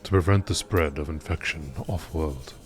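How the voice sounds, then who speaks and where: A man reading scripted lore in a measured, formal documentary narration style. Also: rumbling low, background noise.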